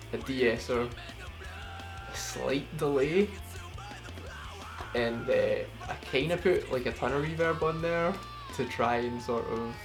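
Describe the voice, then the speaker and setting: Rambling, swearing male singer over music. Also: medium pitch. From United Kingdom. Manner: rambling, swears freely, self-deprecating. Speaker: singer explaining his cover. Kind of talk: casual vocal breakdown. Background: song playing underneath.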